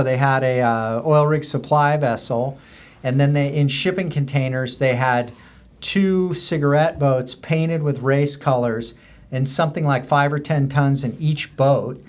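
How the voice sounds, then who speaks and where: An American man speaks as a podcast guest in an unscripted, conversational way. He unfolds anecdotes loosely, circling through examples and details as he explains. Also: medium pitch. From US border region. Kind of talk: casual anecdotal storytelling.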